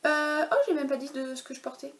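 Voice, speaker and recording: A woman's voice: high-pitched, level tone, fairly quick. A woman in her thirties, a knitwear designer, chatting casually at home about her knitting patterns, with frequent hesitations and self-corrections. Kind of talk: casual knitting podcast chat. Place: home, in daylight.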